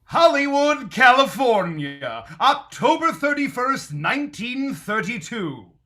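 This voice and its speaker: An actor playing a grand, theatrical 1930s radio announcer, with dramatic pauses. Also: male voice, sits high, pushed and bright, heavily acted.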